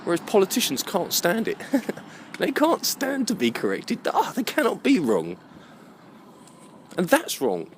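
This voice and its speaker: Animated British man over background noise. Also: high voice, unhurried. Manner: emphatic, rhetorical questions, checks listener understands. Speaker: vlogger. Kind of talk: rambling opinion monologue to camera or recorder.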